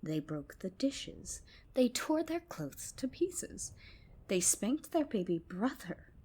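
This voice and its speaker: A woman narrating a folk tale as ASMR, with a soft, whispery, hushed bedtime delivery. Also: a little high, big pitch swings, takes it slowly, husky. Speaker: storyteller. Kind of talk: ASMR storytelling, scripted narration.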